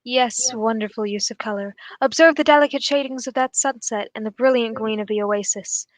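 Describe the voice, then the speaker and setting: Woman as keen male detective, high-pitched, formal, analytical, assured, dry close sound, radio drama. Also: a little fast, no room sound, lightly acted.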